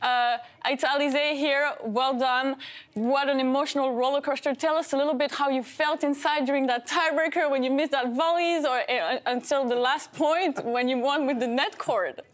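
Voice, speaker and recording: A woman presenter with a high, bright-toned voice asks a guest questions live on air. She sounds bubbly and full of congratulation. She is speaking from an open studio set. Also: fairly even intonation, normal speed. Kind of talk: live tv interview.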